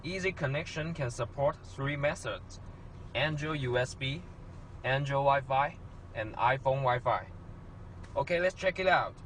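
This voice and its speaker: Male product demonstrator, scripted narration, non-native phrasing, mid pitch, slight background noise. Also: unhurried, fairly bright tone.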